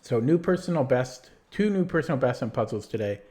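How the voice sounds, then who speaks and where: A man's voice: mid-range voice, lively intonation, a little fast, a little mellow. A man live-streaming chess puzzles, talking casually to his chat, tired and rambling with many restarts, and starting to lose his voice. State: losing his voice.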